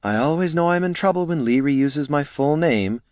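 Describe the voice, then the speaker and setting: A man's voice: on the high side, expressive, normal speed, darkish tone, dry recording, clean studio sound, pitched above the performer's own voice, close to an everyday voice. A man voicing a wry, worn-out former heroine who talks in a breezy, offhand way.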